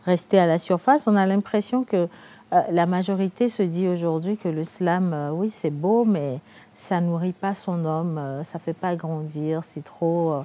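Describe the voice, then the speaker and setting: A woman's voice: lowish voice, unhurried, warm tone. A woman from Togo co-hosting a TV talk show, probing the guest in a conversational way. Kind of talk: TV studio interview.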